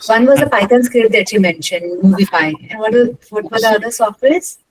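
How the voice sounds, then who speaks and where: A woman's voice: medium pitch, brisk, slight background noise, poor audio. A woman putting polite questions to a speaker in a webinar. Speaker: participant asking questions.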